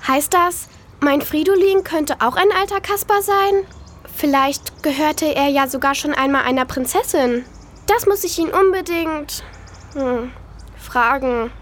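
High-voiced young girl played by a woman. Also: unhurried. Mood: curious. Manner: earnest, childlike. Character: young girl, tender, attached to her puppet. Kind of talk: children's audio drama acting.

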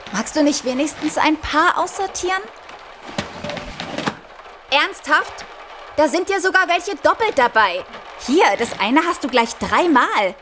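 A woman's voice: sits high, fairly bright tone, slight background noise. A woman playing a practical, teasing daughter with a wry manner in an audio drama.

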